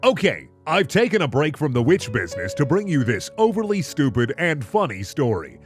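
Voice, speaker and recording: A man's voice: on the high side, big pitch swings, moderate pace, faint music behind. Snippy stage narrator woman, played by a man. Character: sassy woman stage narrator, easily riled.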